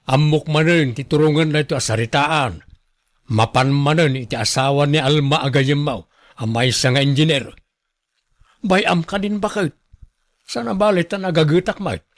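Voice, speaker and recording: Actor as a put-upon husband, highish voice. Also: male voice, moderate pace, no echo, clean studio sound, natural voice. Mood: exasperated. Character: exasperated village husband, a humble local watchman. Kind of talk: radio drama acting.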